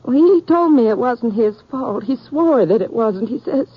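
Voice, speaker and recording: An actress playing an anxious, humble American farm wife in a radio western, pleading and halting, with a husky voice. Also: female voice, fairly high pitch, expressive. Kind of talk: 1950s radio drama acting. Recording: muffled old radio broadcast.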